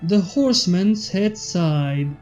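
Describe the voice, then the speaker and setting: Young woman narrating over soft music. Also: on the low side, lively intonation, slow pace. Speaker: fanfiction reader and channel host. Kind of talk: story narration. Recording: slightly dull audio, soft background music.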